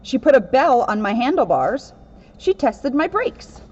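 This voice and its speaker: Higher-pitched, lively woman reading aloud. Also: unhurried, breathy edge. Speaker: police officer reading a picture book. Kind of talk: read-aloud children's story narration. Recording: dull, lo-fi sound.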